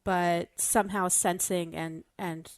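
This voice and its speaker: A woman's voice: on the low side, fairly even intonation, slow pace, no echo. Explaining woman in a dry, close recording. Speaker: podcast panelist. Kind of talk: casual explanatory discussion of a fiction podcast.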